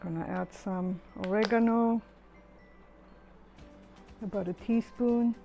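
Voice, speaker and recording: Middle-aged lady walking thru a recipe, mellow easy voice, chatty, soft music behind. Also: medium pitch, slow pace, creaky. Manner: relaxed, chatty step-by-step explaining. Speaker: home cook. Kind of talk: cooking tutorial voice-over.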